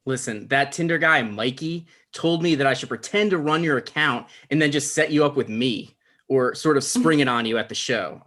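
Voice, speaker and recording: A man's voice: medium pitch, normal speed, fairly bright tone, close to an everyday voice. A man playing an awkward, pedantic but well-meaning talk show host, mild and conversational, in a comedy table read.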